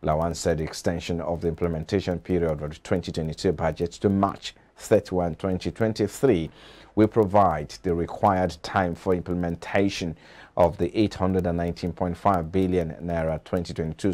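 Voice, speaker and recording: A middle-aged man with a Nigerian accent reads scripted news as an anchor in a formal, measured way. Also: deep voice, steady tone, a little mellow. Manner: formal, measured announcer delivery. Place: TV news studio.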